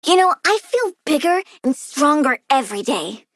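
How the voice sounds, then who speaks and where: A woman's voice: high voice, big pitch swings, moderate pace, no room sound, clean studio sound. A woman voicing a young girl plant villain in a video game, cutesy and petulant with a gleefully sinister manner. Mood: sinister, eager.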